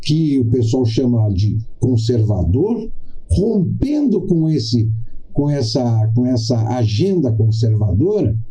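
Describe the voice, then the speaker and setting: A middle-aged Brazilian man giving a political commentary monologue in a deliberate, didactic way, stressing points with repetition and pauses. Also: mid-range voice, sing-song, mellow, poor audio. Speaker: podcast host.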